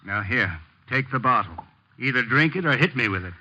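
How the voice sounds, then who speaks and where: An actor playing a shamefaced older uncle, flustered and theatrical, in a 1950s radio drama. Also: male voice, mid-range voice, expressive, a little fast, sharp tone, a little hiss or hum. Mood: nervous. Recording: muffled old 1950s radio recording.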